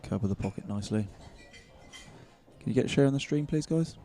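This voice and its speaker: A man with a soothing voice, commentating a pool match in relaxed, chatty live-stream talk. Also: medium pitch, a little fast, slight background noise. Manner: relaxed, chatty and self-deprecating.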